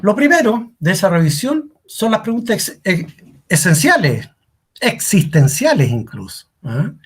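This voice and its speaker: A man's voice: high voice, big pitch swings, a little slow, breathy edge. A middle-aged man giving a career workshop talk as a coach, asking rhetorical questions and repeating key lines for emphasis. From Chile.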